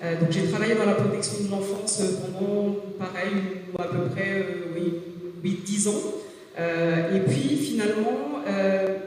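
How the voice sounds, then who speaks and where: A woman in her forties, a native Swahili speaker, giving a halting talk as a career coach, hesitating often and restarting her sentences. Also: mid-range voice, a little mellow. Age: 40s. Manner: hesitant, many filler sounds and restarts. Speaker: business coach speaking at a jobs fair. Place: large gymnasium hall. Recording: echoey gym hall from far off.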